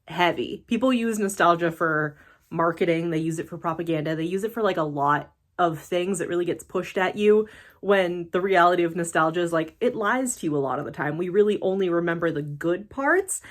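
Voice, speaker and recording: A woman's voice: on the low side, fairly quick. A woman about to turn thirty, from the United States, chatting in a rambling casual vlog with lots of fillers and self-deprecating laughs. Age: late 20s. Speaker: YouTuber.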